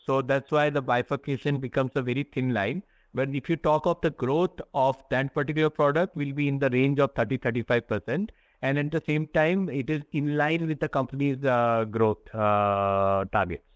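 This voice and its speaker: Guy explaining business numbers, kinda halting, smooth voice. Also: medium pitch, no echo. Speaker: company executive answering analysts. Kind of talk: earnings call answers.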